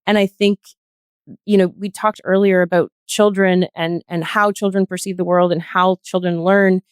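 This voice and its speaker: A woman speaking as a podcast guest in a thoughtful, conversational discussion, explaining things carefully and pausing to find her words. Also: lowish voice, little pitch movement, clean tone, no room sound, studio quality.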